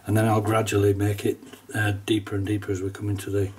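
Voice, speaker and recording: A man's voice: fairly low pitch, fast, breathy edge, distant mic. Nasal man with a cold teaching watercolour. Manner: relaxed, chatty, with small laughs and fillers. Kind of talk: casual step-by-step painting demonstration. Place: painting desk at home, indoors.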